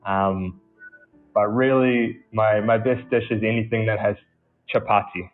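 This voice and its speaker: Guest, New Zealand man, low flat voice, hesitant casual talk, tinny phone line. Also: a little slow, faint music behind. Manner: relaxed, hesitant with repeated starts and ums. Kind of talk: casual chat.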